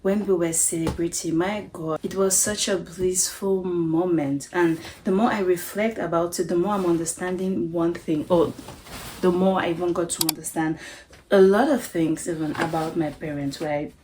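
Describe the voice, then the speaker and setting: Earnest woman from Cameroon, lowish voice. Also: fairly even intonation, moderate pace, darkish tone, slight background noise, poor audio. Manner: earnest, frequent tag questions. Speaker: vlogger. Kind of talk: reflective talk to camera. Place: home bedroom.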